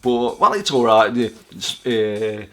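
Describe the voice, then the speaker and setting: A man's voice: on the low side, animated, takes it slowly. A British man in his forties chatting casually in a rambling, self-deprecating way, like a vlog host reminiscing.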